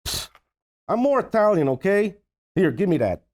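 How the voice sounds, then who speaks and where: Guy acting a bossy loudmouth comedy sidekick, scolds and exclaims, pitch jumps all over. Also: sing-song, moderate pace. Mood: irritated. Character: brash bossy Italian-Cuban buddy in a comedy. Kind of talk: comedy script table read.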